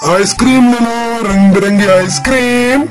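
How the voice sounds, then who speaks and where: Man voicing a high-pitched, anxious cartoon crow husband. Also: a little slow, loud music behind the voice. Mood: calling out. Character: anxious, humble male crow husband in a children's fable. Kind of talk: Hindi cartoon story voice acting.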